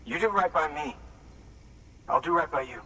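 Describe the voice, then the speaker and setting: A man's voice: fairly high pitch, expressive, moderate pace, recorded from a distance, noisy background, lightly acted. An actor playing a grieving father in a video game cutscene, blunt and resentful, swearing as he distrusts the people he blames. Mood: gruff.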